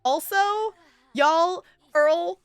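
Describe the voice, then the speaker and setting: A woman's voice: very high-pitched, extremely slow, no echo, faint music behind. A woman streaming a life simulation game with casual, rambling commentary, chatting to viewers and to the game's characters.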